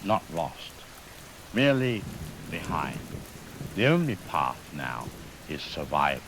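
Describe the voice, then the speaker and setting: Man playing a deep-voiced, coldly grave scientist. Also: sing-song, fairly bright tone, full character voice, rough recording. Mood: somber resolve. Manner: measured, formal, deliberate with weighty pauses. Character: grave, erudite male virologist, coldly fatalistic. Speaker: expert guest. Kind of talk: scripted radio drama interview. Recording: hiss, rain and thunder noise. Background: rain, thunder, hiss.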